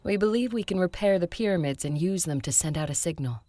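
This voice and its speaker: A woman playing an ancient vampire leader in an audio drama, earnest, measured and resolute. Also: on the low side, expressive, warm tone, no room sound, close to an everyday voice. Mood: earnest resolve. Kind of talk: audio drama acting and first-person narration.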